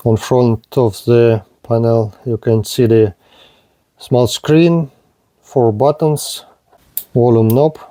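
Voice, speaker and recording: A man demonstrates a product in a soft-edged, mellow voice. He speaks very slowly, in short and halting phrases. His delivery is low-key and matter-of-fact, like a practical hands-on review. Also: steady tone, dry recording.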